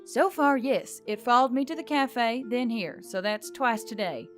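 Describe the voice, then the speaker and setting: A woman reading as a stubborn, sharp-witted adventuress. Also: a little high, unhurried, faint music behind, close to an everyday voice. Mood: serious. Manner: flat, probing, sarcastic.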